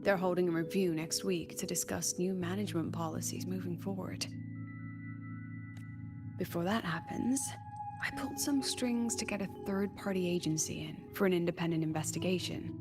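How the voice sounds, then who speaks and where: An actress playing a weary, wry academy president in a video game, sly and chatty, talking conversationally with sighs and coy pauses. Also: female voice, on the low side, natural voice. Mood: businesslike. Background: background music.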